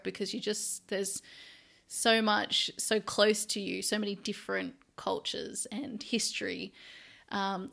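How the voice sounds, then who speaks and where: A woman's voice: mid-range voice, creaky, a bit bright. An Australian woman hosting an interview, enthusiastic and effusive as she chats. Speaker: podcast host. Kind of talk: casual interview chat.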